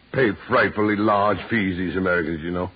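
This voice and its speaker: Flustered British gentleman, muffled old radio. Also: deep voice, husky. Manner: hesitant, stammering, harrumphing. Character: flustered, blustering British gentleman husband, evasive and guilty. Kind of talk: 1940s radio drama acting. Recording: muffled, tinny 1940s radio recording.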